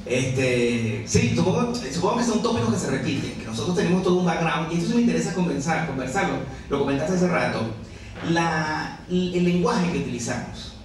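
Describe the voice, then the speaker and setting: A man's voice: fairly high pitch, lively intonation, a little fast. A Venezuelan novelist in his forties, talking to an audience in a chatty, self-mocking way and laughing often. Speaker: novelist presenting his book. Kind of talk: conversational talk to an audience. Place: busy café with an audience. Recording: echoey café room, mic far off, crowd chatter.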